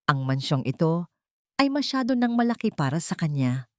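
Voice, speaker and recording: A woman's voice: low voice, sing-song, normal speed, dark tone, no echo, clean studio sound. A woman voicing a nervous, scheming adult son in an audio drama, hasty but outwardly respectful.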